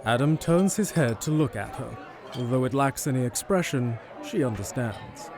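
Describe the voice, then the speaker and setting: A man's voice: mid-range voice, animated, normal speed, a crowd in the background. A man reading scripted narration for an audio drama.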